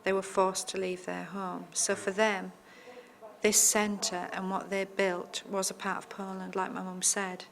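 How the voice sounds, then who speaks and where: A middle-aged woman, a teacher at a Polish school, speaking in a measured, matter-of-fact way in an interview. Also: medium pitch, little pitch movement, gravelly. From England. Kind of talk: oral history interview.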